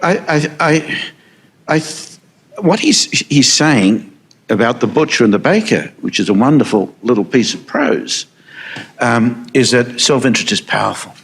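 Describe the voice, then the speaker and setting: A man's voice: mid-range voice, lively intonation, unhurried, breathy edge. A man answering on a panel, assured, driving points home with tag questions.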